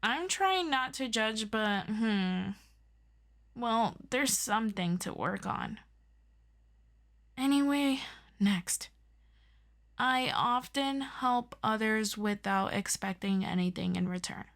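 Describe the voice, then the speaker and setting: A woman playing an earnest, excitable superhero best friend in an ASMR audio roleplay, chatty and expressive as she tutors a listener. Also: fairly high pitch, slow pace, pauses often, full character voice. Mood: uneasy, trying patience. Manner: chatty and expressive, with interjections and sighs. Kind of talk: ASMR audio roleplay, scripted one-sided conversation.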